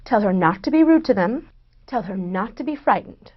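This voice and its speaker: A woman's voice: medium pitch, animated, normal speed, lightly acted. Actress as a worried woman, muffled lo-fi sound. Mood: gentle, worried. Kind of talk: stage play reading. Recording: dull lo-fi recording.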